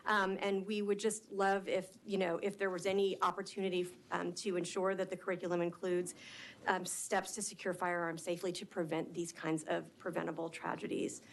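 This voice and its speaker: Low, hesitant woman testifying. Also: flat delivery, brisk, gravelly. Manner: hesitant, with many fillers. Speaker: advocate testifying. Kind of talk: formal legislative testimony.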